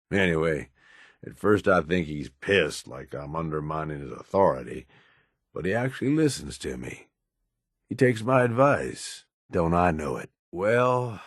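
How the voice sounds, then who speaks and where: A cynical American raider lieutenant from a video game, low-pitched and gruff. He talks streetwise and sardonic, with a profane, menacing edge. The recording is close and dry. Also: fairly bright tone, no echo, heavily acted. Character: hard-bitten, cynical male raider lieutenant, menacing schemer. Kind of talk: in-game character dialogue.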